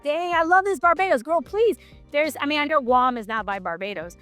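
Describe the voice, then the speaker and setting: A woman's voice: high-pitched, brisk, a bit bright, soft background music. A woman from Michigan hosting a casual business chat, talking in an enthusiastic, chatty way full of "like". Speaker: host.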